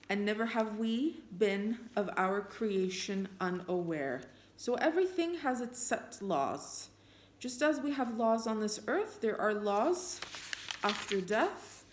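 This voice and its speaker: A woman whose first language is Armenian, reflecting earnestly on faith and reading passages of scripture aloud, thinking out loud with fillers and restarts. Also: mid-range voice. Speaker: spiritual vlogger. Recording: echoey room.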